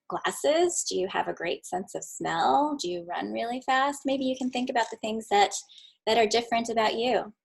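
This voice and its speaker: A woman reading a children's picture book aloud and then talking gently to young listeners with casual fillers. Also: on the high side, gravelly, husky. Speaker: children's story livestream reader.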